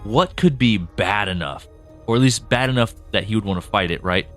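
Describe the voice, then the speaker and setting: Low-voiced chatty man co-hosting. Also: fairly quick, soft background music. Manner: chatty, laughs often, many restarts. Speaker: podcast co-host. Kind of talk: casual behind-the-scenes discussion.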